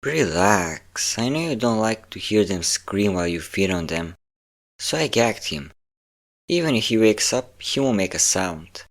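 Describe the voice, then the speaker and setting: Guy doing a low voiced yandere vampire boyfriend, teasing n bossy, close intimate roleplay. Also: sing-song, a little slow. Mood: stern, coaxing. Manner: teasing, commanding, breathy whispers at times. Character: possessive yandere male vampire, teasing, domineering lover. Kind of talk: intimate audio roleplay addressed to the listener.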